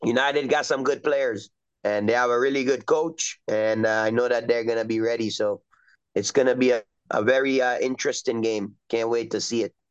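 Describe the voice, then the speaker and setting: A middle-aged man from Trinidad and Tobago chats easily as an interview guest. He wanders through his answers with plenty of ums and you-knows. His voice is smooth and resonant, though the recording sounds a little dull and lo-fi. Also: medium pitch, steady tone, normal speed. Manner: easygoing, rambling with many fillers. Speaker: soccer coach, guest. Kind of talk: casual interview chat.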